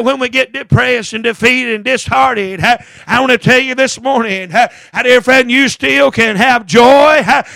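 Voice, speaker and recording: A man's voice: very high-pitched, gravelly, sharp tone, no room sound. A man preaching a fervent, chanted revival-style sermon in a strained voice, punctuating his phrases with gasped grunts and a repeated term of address.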